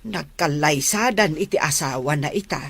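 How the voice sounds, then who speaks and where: A woman's voice: low voice, lively intonation, normal speed, a bit bright, husky, dry recording, full character voice. A woman playing a caring middle-aged mother in a radio drama, giving tender, earnest counsel. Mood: gently probing. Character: gentle, caring middle-aged mother advising her daughter.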